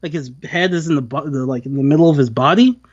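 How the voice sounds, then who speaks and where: Casting: male podcast co-host, fairly high voice, casual review chat with acted-out quotes, dry slightly muffled recording. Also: fast talker, dry recording. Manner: acts out imagined quotes while talking.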